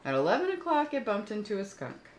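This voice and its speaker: A woman reading stories aloud for children in an expressive, explanatory storytelling style, with small asides to the listeners. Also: medium pitch, quick pace. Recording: roomy echo with faint background noise.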